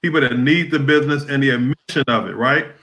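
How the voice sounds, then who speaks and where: A man explaining his point in a measured, conversational way as a guest on a video call. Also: medium pitch, bright, forceful tone. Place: home room on video call. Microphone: webcam or laptop mic on video call. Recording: echoey room.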